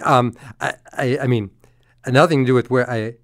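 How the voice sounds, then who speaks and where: A man co-hosts a conversational podcast, mid-pitched and friendly. He stumbles and restarts as he works toward his point. The recording is dry and close. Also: steady tone, moderate pace, no echo. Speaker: podcast co-host. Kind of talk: conversational interview.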